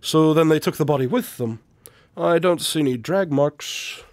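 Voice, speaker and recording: A man plays a shrewd detective in a radio drama. His voice sits a little high for a man and is captured very dry. He speaks deliberately, with self-assured, dry condescension. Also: dry recording, natural voice. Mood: assertive, condescending. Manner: measured, deliberate, dryly superior. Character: shrewd, confident male detective, dryly condescending.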